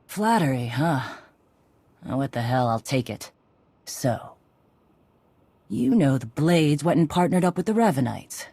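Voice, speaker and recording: An actress playing a tough, cocky Mandalorian clan leader in a video game, brash and sardonic in a casual way. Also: female voice, deep voice, very animated, a little slow, gravelly, long pauses, dark tone, dry recording, full character voice. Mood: wry, grudging.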